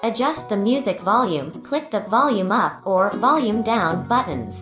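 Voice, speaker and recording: A woman narrates a scripted how-to in short, clipped instructional steps. Her voice sits at a medium pitch. The sound has a noticeable room echo, with music playing behind her. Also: lively intonation, unhurried, lo-fi sound. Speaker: product tutorial narrator.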